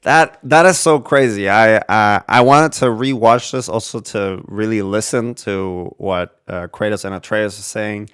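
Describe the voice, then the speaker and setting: Low, clear-voiced man reacting casually. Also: measured pace, few pauses, a bit bright. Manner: rambling, thinking aloud. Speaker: gaming video creator reacting to a trailer.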